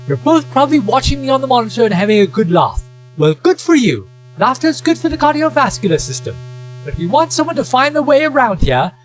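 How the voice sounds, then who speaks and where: A man's voice: very high-pitched, poor audio. Man acting a sarcastic, self-pitying sci-fi sidekick. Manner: wry, wordy complaining. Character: sarcastic, self-pitying young male companion, amateur psychoanalyst. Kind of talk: audio drama acting.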